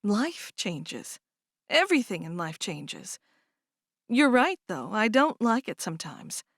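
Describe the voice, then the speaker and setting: A woman reading aloud and voicing a kind, calm older sister who speaks gently and reassuringly. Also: medium pitch, slow, no echo, clean studio sound, exaggerated voice. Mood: soothing.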